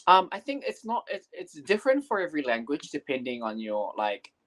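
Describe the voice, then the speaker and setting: A man's voice: fairly high pitch, wildly swooping pitch, brisk, a bit bright. A young man from New Zealand talks casually as an interview guest. Māori was his first language, and he rambles, circling back with fillers and restarts. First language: Te Reo Māori. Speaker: guest teacher.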